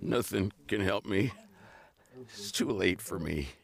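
An actor playing a gruff, downtrodden homeless man with a gravelly, terse delivery in a radio drama. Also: fairly low pitch, slow. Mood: despairing.

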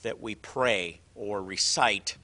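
A man hosting a religious show, teaching conversationally, often halting and repeating words as he explains. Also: fairly low pitch, pushed and bright.

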